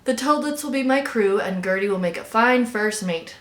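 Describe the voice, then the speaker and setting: A salty old sea captain from a storybook as a woman reader plays him. He speaks emphatically in sailor fashion, and the room adds a clear echo. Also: mid-range voice, lively intonation, moderate pace, fairly bright tone, natural voice. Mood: excited. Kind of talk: children's storybook read aloud. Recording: roomy, echoing indoor sound.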